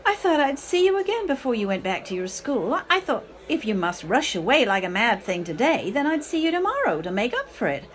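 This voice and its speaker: A woman's voice: fairly high pitch, sing-song, fairly quick, hardly any pauses. An amateur actress playing a bitter, drunken middle-aged mother in a radio play, theatrical and martyred, with dramatic hesitations. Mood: hurt, accusing.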